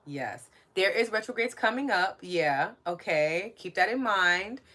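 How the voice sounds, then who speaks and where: A woman's voice: medium pitch, expressive, unhurried. A woman with a strong New York accent hosting a live spiritual podcast, chatting and reading out listener comments. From New York City. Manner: warm, chatty, reads out listener comments and thanks them. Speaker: podcast host and coach.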